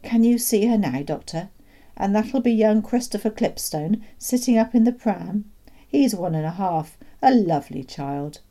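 Lady reading as a sly proud dad in a kids story, cheery n confiding, soft mellow tone. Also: medium pitch, lively intonation, a little slow, voice pushed up. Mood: sly, pleased. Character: proud, sly father, keen country schemer. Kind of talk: children's storybook read aloud.

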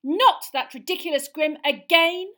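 A woman voicing a sharp, impatient teenage schoolgirl who speaks in an exasperated, hissing way. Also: high voice, sing-song, brisk, voice pushed up.

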